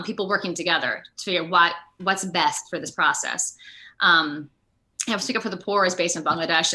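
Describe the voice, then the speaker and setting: An American woman from Ohio talking casually as an interview guest, earnest and animated, rambling from point to point. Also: on the low side. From Ohio, United States. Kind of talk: casual online interview chat.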